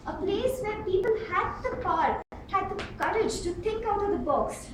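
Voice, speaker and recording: A woman delivers a rehearsed tall tale in a very high, animated voice, pausing for dramatic emphasis like a practiced contest speaker. She is recorded from a distance in a club room, with noticeable noise behind her. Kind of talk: rehearsed storytelling speech to a live club. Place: indoor club meeting room. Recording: roomy, distant mic with clear background noise.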